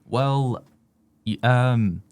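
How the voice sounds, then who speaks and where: A man's voice: medium pitch, extremely slow, darkish tone. A young man from West Yorkshire, a first-year student chatting casually and rambling with many fillers and restarts. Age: young adult. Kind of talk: casual chat.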